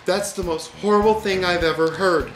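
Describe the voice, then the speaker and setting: A man's voice: sits high, fairly even intonation, moderate pace, echoey room, over music, lightly acted, rough recording. A man voicing a kindly, gullible old woman in a storybook, with a gushing, exclamatory manner. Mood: shocked. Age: older.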